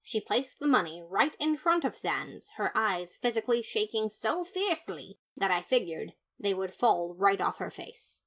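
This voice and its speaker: A woman playing a pompous, fastidious skeleton detective, narrating a story in the first person with theatrical, haughty disapproval. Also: mid-range voice, a bit bright. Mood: disapproving.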